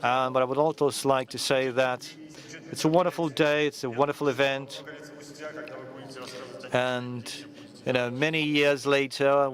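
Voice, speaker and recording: A man, a journalist and event organizer, giving loose, informal remarks at a forum, with frequent pauses and restarts. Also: mid-range voice, fairly bright tone. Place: large conference hall.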